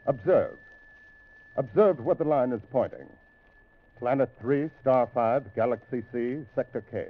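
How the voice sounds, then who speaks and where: An actor playing a stern alien spaceship captain in an old radio drama, speaking in formal, measured, imperious declarations. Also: male voice, medium pitch, lively intonation. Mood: grave, instructive. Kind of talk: 1950s radio science fiction drama acting. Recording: muffled, tinny old radio recording.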